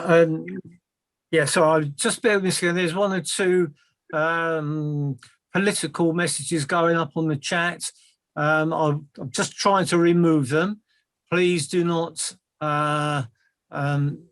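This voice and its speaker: A man hosts an online Q&A in a somewhat high voice, recorded close and dry with no room sound. He moves things along briskly, with frequent um and uh. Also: steady tone, a bit bright, dry recording. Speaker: webinar host and moderator. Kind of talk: moderating an online Q&A. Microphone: video call.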